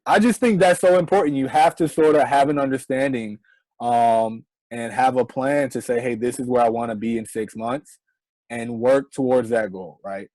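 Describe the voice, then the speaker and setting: An earnest young man in his twenties, speaking as a guest on an online interview. His voice sits at a middle pitch and sounds close and dry, with little room around it. He keeps checking in with a quick "right?" as he lays out advice. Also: steady tone, a little mellow, no room sound, low-quality recording. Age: 20s. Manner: earnest, repeats "right?" as a tag. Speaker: guest entrepreneur.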